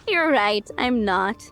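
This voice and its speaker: A woman's voice: on the high side, a bit bright, full character voice. A woman playing a breezy, playful, teasing tavern owner in a video game. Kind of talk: video game character acting.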